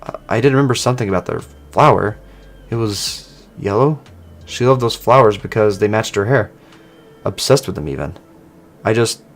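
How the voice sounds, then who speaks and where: Amnesiac ex-assassin woman, played by a man, wistful and hesitant, lowish pitch, faint music beneath. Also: natural voice. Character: wistful, amnesiac former female assassin, longing for lost friend. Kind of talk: character dialogue in online horror fiction.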